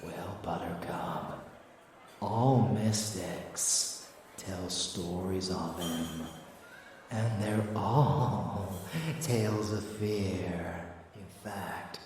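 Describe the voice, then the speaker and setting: A man playing a rebellious vampire lover in an intimate ASMR audio drama, doting and darkly scheming, in hushed murmurs. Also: sits low, fairly even intonation, far from the mic, slight background noise. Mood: dark, storytelling. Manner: slow, hushed, murmured endearments. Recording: heavy echo, music underneath.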